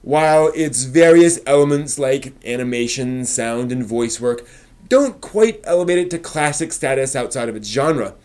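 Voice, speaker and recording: A man hosting a review stream, reading scripted commentary with emphatic, measured phrasing and casual conversational asides. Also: mid-range voice, lively intonation, a little mellow. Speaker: host of an anime review live stream.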